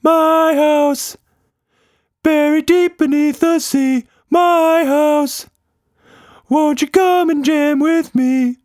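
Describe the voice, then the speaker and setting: A man's voice: very high voice, fairly even intonation, very slow, darkish tone, dry recording, studio quality, voice pushed up, exaggerated voice. A man voicing a zany, friendly, playful magical monster for a children's story. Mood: singing.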